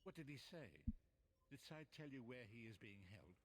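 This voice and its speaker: An actor playing an old, cryptic prophet in a fantasy game, speaking in a measured, formal, philosophical way. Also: male voice, mid-range voice, expressive, low-quality recording. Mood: grave, curious. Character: old cryptic sage prophet, solemn and musing.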